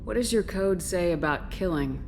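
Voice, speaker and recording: A composed space commander in a video game. Her low voice is direct and probing, with plain, controlled delivery over clear background ambience. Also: animated, normal speed, a little hiss or hum. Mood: curious, composed. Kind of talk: dramatic game dialogue.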